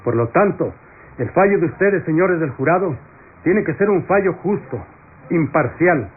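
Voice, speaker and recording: An actor playing a solemn, impartial presiding judge, formal and authoritative in radio soap style. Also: male voice, fairly high pitch, lively intonation, normal speed, slight background noise, close to an everyday voice. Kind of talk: classic Latin American radio soap acting. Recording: tinny old radio recording.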